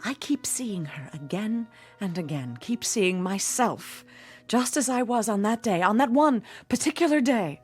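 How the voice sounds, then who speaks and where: An actress playing a bitter, haunted, hard-drinking woman in her forties in a radio drama, theatrical and sardonic with a husky voice. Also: medium pitch, lively intonation, a little slow, darkish tone. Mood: agitated, manic. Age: 40s. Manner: theatrical, sardonic, self-pitying. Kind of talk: old-time radio drama acting. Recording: radio drama with music underneath.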